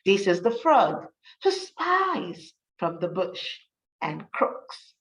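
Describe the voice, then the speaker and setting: A woman teacher reading a rhyming story for children, with an encouraging manner and deliberate, emphatic delivery. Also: medium pitch, big pitch swings, recorded from a distance. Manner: encouraging, praising, addressing children directly.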